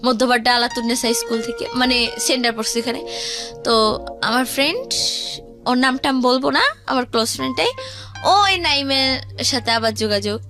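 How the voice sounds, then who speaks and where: A woman's voice: a little high, normal speed, pauses often. A young woman with a Bangladeshi accent telling a personal story conversationally, rambling and acting out what others said. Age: teens to young adult. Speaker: guest telling their life story. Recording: music behind the voice.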